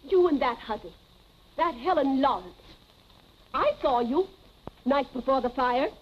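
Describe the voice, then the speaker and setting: An actress playing a stern elderly housekeeper in a 1940s film melodrama, righteous, suspicious and emphatically accusing. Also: female voice, high voice, lively intonation, unhurried. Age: older. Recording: muffled 1940s film soundtrack, music behind.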